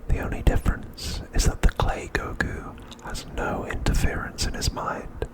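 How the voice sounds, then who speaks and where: A man narrating an ASMR recap in a breathy, unvoiced whisper, with deliberate phrasing broken by short pauses. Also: poor audio. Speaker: ASMR host.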